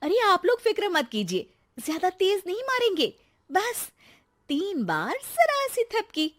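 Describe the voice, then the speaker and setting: A clever young cartoon housewife, as a woman plays her, sweet on the surface and scheming underneath. Her voice is pitched high and leaps around with bright, sing-song rises. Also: huge pitch swings, a little slow, heavily acted. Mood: sly coaxing. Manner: pleading, then sweetly sly. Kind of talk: cartoon voice acting.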